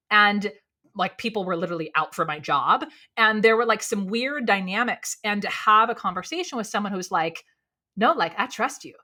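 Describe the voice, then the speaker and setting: A woman guest with a naturally low voice that carries a bright, forceful edge. She talks fast and animated, scattering "like" through her sentences. The mid-pitched voice sounds candid and conversational. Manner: animated, quick, peppered with "like". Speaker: podcast guest, speaking coach.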